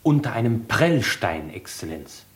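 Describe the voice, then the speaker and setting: A man's voice: medium pitch, sing-song, unhurried. An actor playing an obedient male subordinate in a German radio drama, terse and deferential toward his superior. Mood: dutiful. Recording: roomy, slightly noisy radio drama scene.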